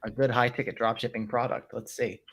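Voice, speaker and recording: A man's voice: medium pitch, flat delivery, a little fast, husky. A man co-hosting a livestream in casual chat, playful and laid-back, often laughing. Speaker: co-host.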